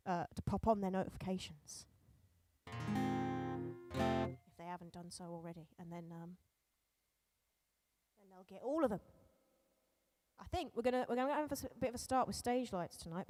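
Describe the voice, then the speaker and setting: A woman's voice: medium pitch, darkish tone, no room sound. A woman musician chatting casually to viewers on her live stream, rambling a little and correcting herself as she thinks aloud. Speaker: streamer and singer-songwriter.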